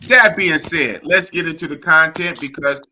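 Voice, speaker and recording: A man hosting a live stream, talking in an animated, rambling way that keeps repeating itself. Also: on the high side, moderate pace, slight background noise. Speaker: podcast and live stream host. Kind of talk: casual live stream chat.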